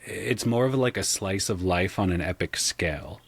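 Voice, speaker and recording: A man's voice: low-pitched, moderate pace, breathy edge, no room sound. A man speaking as a podcast guest in a casual, reflective interview style, candid and thoughtful about his creative work. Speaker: podcast guest, audio drama sound designer and game master.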